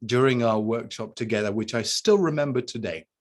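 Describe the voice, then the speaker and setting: A man's voice: mid-range voice, brisk. A man from the north of England, hosting a podcast interview and asking long, encouraging questions. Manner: warm, encouraging, asks long multi-part questions. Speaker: podcast host. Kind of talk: casual interview.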